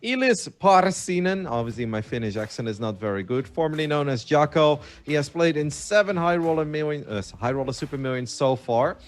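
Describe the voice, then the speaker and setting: A man hosting a poker broadcast, briskly introducing players and handing off to his co-host. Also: on the high side, animated.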